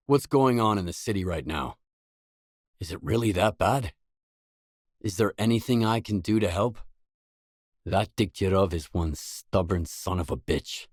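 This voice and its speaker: A man's voice: on the low side, a little mellow, dry recording, studio quality. A man playing a determined, blunt stalker in a video game, speaking tersely and seriously in matter-of-fact dialogue lines. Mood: businesslike. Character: determined, blunt male stalker adventurer in a grim zone. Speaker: game protagonist.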